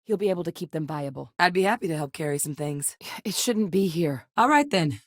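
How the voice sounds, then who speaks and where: A composed officer and scientist, with a low pitch and a steady, businesslike delivery. She gives orders and reports with calm control. The game voice-over is recorded bone-dry, with no room around it. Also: brisk, no echo. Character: composed adult woman, a military officer and scientist. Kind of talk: video game voice lines. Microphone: studio voice-over.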